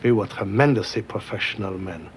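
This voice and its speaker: A man's voice: lowish voice, brisk, slight background noise. An older man talking bluntly in short statements in a documentary interview. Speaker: photojournalist interviewed.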